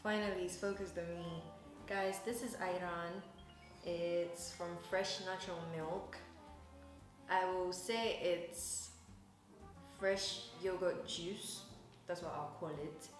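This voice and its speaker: A woman's voice: lowish voice, fairly even intonation, takes it slowly. A woman in her twenties from Ghana chatting casually to her viewers as a vlogger, in an affectionate, talkative manner. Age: 20s. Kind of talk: casual chat to camera. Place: rooms at home, indoors. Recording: echoey rooms at home, music bed.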